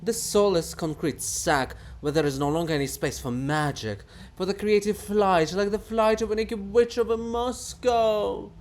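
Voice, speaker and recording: A man's voice: high-pitched, moderate pace, hardly any pauses, voice pushed up, close to an everyday voice. A man voicing a vain, fanatical monument come alive in a dramatic stage farce, declaiming pompously and theatrically. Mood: fanatical ranting. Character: ranting, grandiose Bulgakov monument, vain and fanatical.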